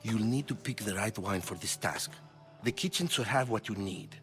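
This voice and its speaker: An actor playing a vain, theatrical ancient Greek playwright in a game cutscene, witty and grandiloquent. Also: male voice, on the low side, moderate pace, a bit bright, soft background music, lightly acted. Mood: wry. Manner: self-mocking, grandiloquent, witty. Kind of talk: video game cutscene acting.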